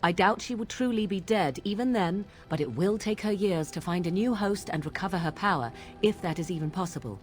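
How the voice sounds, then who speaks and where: A woman's voice: on the low side, expressive, fairly quick, hardly any pauses, natural voice. An actress playing a proud, sardonic young witch in a video game, speaking in formal, archaic phrases with haughty deliberation. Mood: resolute, scheming. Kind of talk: dramatic video game dialogue.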